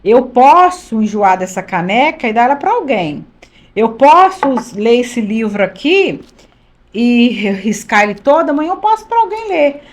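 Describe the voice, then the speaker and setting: A woman's voice: a little high, sing-song, normal speed. A woman in her fifties, a pastor's wife from Minas Gerais, telling her life story on a podcast in an earnest, emphatic way, often repeating phrases. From Minas Gerais, Brazil. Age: 50s. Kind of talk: conversational testimony.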